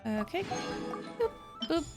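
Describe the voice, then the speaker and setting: A woman's voice: high-pitched, sing-song, slow, music in the background. A woman live-streaming a video game, chatting casually and playfully with little exclamations and sound words. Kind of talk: casual chat while playing. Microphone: streaming microphone.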